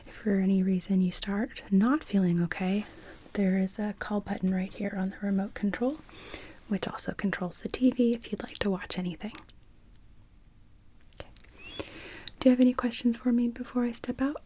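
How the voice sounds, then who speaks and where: A woman's voice: mid-range voice, steady tone, normal speed, pauses often, slight background noise. Whispering woman in an ASMR doctor roleplay. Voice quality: whispered, breathy. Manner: gentle, reassuring, explanatory. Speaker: ASMR performer playing an emergency room doctor.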